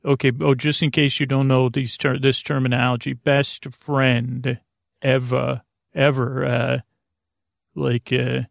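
An American man hosting a sleep podcast in a meandering, halting monologue, stumbling and trailing off mid-thought in a gravelly voice. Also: medium pitch, level tone, dry recording, studio quality. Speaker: podcast host. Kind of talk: rambling bedtime monologue to help listeners sleep.

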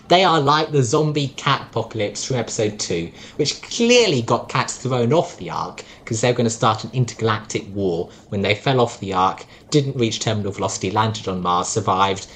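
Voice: mid-range voice, a bit bright. A podcast co-host who lectures sarcastically in a casual debate.

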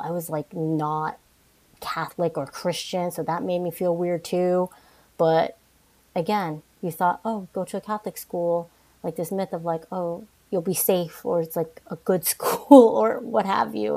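Chatty young woman, lowish voice. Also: low-quality recording. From New York. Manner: frequent restarts and "like", animated asides. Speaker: podcast guest. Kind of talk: casual interview conversation.

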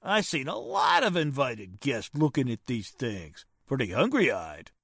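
A woman playing a gruff, suspicious male detective with rough, slangy talk, in 1940s radio drama style. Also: extremely low pitch, wildly swooping pitch, a little slow, dry recording, clean studio sound, exaggerated voice. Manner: rough, slangy, ungrammatical wise-guy talk.

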